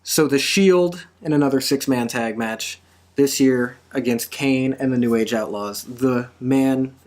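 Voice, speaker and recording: A man's voice: mid-range voice, measured pace, darkish tone. A man hosting a wrestling predictions podcast in a casual panel discussion.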